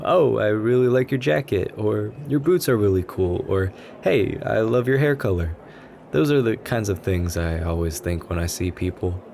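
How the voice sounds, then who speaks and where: Low male voice, man acting as shy sweet café writer, gentle, anxious rambling, ASMR roleplay, clear background ambience. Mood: earnest, nervous. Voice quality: gentle, soft-spoken. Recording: noticeable coffee shop ambience noise. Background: coffee shop ambience.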